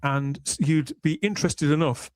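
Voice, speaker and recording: A man hosting a podcast panel discussion, talking in a measured, thoughtful way. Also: a little high, darkish tone, clean tone, no room sound, clean studio sound. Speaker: podcast host. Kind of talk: panel discussion of a sci-fi series.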